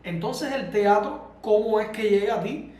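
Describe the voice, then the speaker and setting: A Cuban radio host with a high-pitched voice for a man asks long, winding questions. He is recorded from a distance in a very echoey room. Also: expressive, moderate pace, a little mellow, a little hiss or hum. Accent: Cuban Spanish. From Cuba.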